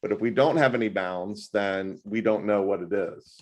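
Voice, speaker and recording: A man with a fairly low voice tutors math one-on-one over a video call. He talks loudly and with plenty of animation, and he keeps slipping jokes into the lesson. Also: moderate pace. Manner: loud, animated and jokey.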